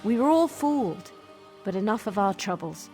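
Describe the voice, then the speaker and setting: An earnest fantasy soldier, acted by a woman, with a composed, formal way of speaking and a middling pitch. She sounds dutiful, a game character giving a report over faint music. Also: animated, normal speed, warm tone, natural voice. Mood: earnest, concerned. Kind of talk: fantasy video game voice acting.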